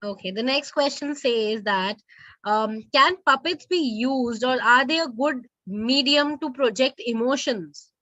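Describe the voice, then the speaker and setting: Female moderator, high and bright, reading questions on a dull lo-fi call. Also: unhurried, no echo.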